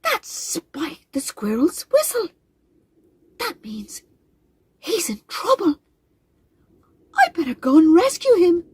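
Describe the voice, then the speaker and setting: A small, brave robin with a high, bright voice that rises sharply when she is worried. A woman puts her on for a children's story. The recording is close and dry. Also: wildly swooping pitch, takes it slowly, pauses often, no room sound, heavily acted.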